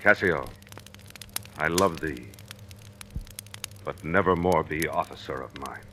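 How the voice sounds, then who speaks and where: An actor playing a proud, commanding general with measured, declamatory Shakespearean delivery in old-time radio style. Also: male voice, a bass voice, bright, forceful tone, exaggerated voice. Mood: grave, sorrowful. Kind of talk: 1940s radio acting of Shakespeare. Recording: noisy vintage radio recording.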